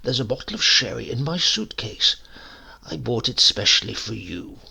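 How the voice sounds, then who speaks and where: A man voicing a smooth-talking older uncle, a secret poisoner with sly, drawn-out politeness, in a storybook reading. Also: medium pitch, animated, bright, forceful tone, heavily acted. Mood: agreeable, sly. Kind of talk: acted dialogue in a storybook reading.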